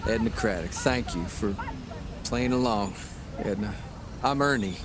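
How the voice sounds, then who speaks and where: A man's voice: fairly low pitch, background noise, natural voice. An actor playing a weary, evasive 1940s newspaperman husband in an audio drama, hesitant and placating. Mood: awkward, polite. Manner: hesitant, halting, mumbled deflections. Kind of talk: naturalistic period audio drama acting.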